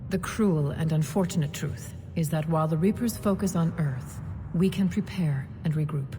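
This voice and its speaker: A woman's voice: normal speed, warm tone, close to an everyday voice. An actress playing a composed, grave female alien councilor in a game, speaking formally and diplomatically. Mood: grave, regretful. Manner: formal, solemn. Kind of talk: dramatic game dialogue. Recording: reverberant chamber, faint rumbling noise.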